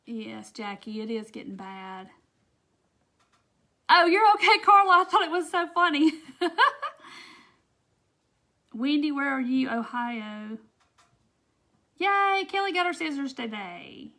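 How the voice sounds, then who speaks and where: A woman's voice: high-pitched, sing-song, slow pace, pauses often, sharp tone. A woman with a Southern US accent hosting a casual craft live stream, chatting folksily with viewers and reading their comments by name.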